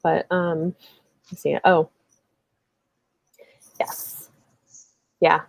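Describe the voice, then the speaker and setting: Female book-chat host, informal and animated, thinking aloud in stops and starts, close dry sound. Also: sits low, very slow, vocal fry, no echo, rough recording. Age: adult, married with children. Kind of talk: casual book chat on a livestream.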